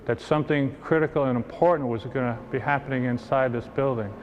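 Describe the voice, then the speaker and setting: Man, interview soundbite, halting delivery, long hall reverb, dull recording, middle pitch. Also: steady tone, slight background noise.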